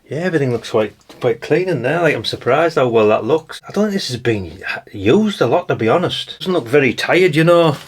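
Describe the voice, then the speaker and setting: A man's voice: mid-range voice, animated, a little fast, few pauses, husky. A British man talking casually and thinking aloud as he gives a hands-on teardown commentary, rambling and informal. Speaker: hobbyist radio channel host.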